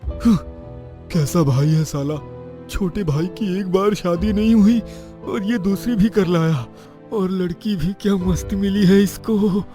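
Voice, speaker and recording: A man's voice: high voice, measured pace, dark tone, faint music behind, voice pushed up. A man putting on a jealous, lustful young brother. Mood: grumbling. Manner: whiny, agitated.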